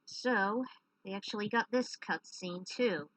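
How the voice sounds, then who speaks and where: A woman's voice: mid-range voice, measured pace, a bit bright, poor audio. A woman narrating a story, slipping into informal, chatty asides in a casual way. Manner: informal, chatty commentary between passages. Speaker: fan fiction narrator.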